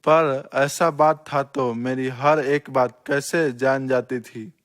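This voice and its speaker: Puzzled husband for a moral story male actor, very slow, questioning delivery, medium pitch. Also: fairly even intonation, natural voice. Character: puzzled, grateful adult husband seeking answers. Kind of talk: scripted Hindi moral story acting.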